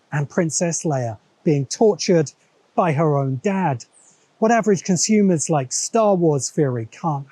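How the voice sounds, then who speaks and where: A man's voice: a little high, mellow. A man delivering a scripted video essay in an opinionated, dryly sardonic way. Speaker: host of a science fiction commentary show. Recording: music behind the voice. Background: background music.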